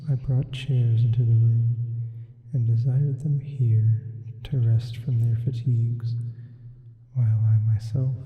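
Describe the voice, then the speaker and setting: A man voicing a sleep paralysis demon reading a horror confession in a hushed, often whispered ASMR style with added reverb. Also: mid-range voice. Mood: hushed, sinister. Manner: slow, hushed, deliberate. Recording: muffled, heavy reverb.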